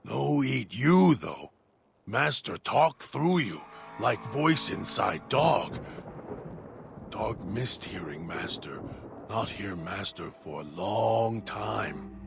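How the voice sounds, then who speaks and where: A man voicing a deranged, hungry male dog-creature who talks of himself in halting, broken third-person speech. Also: fairly low pitch, big pitch swings, background noise, full character voice. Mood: eerie. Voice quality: gravelly. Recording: music bed underneath.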